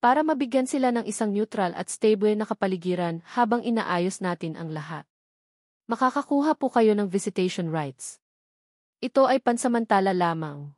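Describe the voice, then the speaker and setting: Female narrator as a formal, firm social worker in a Filipino audio drama story; Filipino accent, mid pitch, official delivery, dry sound. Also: animated, normal speed, darkish tone, no echo, natural voice. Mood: grave, official.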